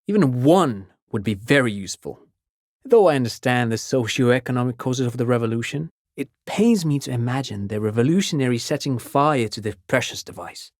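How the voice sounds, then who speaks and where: Polite intellectual man, video game character, pedantic, keen explainer, lively intonation, dry studio sound. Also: mid-range voice, a little fast, no echo. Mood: wistful, regretful. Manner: pedantic, erudite, explanatory, courteous. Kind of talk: video game dialogue acting.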